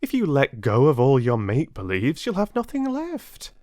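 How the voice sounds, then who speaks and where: A man's voice: medium pitch, wildly swooping pitch, normal speed, no room sound, studio quality, voice pushed up. Man playing a kindly, lilting female babysitter. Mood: comforting. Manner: gentle, encouraging.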